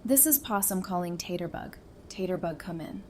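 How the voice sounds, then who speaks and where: A woman's voice: fairly low pitch, normal speed, natural voice. Woman as caring female survivor, noisy ambience. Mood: casual concern. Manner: casual, conversational, expressive. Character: caring, casual, warm-hearted female survivor. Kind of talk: fan audio drama acting. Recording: clearly noisy background ambience.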